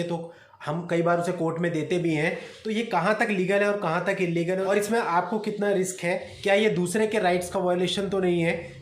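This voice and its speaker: A man giving an explanatory legal talk in a didactic way, often addressing his listeners directly as an advocate presenting to his audience. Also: high-pitched. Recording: echoey, roomy sound.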